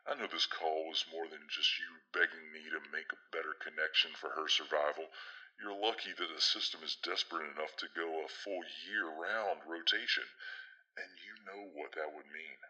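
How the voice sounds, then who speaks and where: A man's voice: a bass voice, steady tone, moderate pace, bright, forceful tone, highs cut off, exaggerated voice. A man playing a blunt, teasing, protective big brother in an audio drama, folksy and theatrical in his delivery. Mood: exasperated.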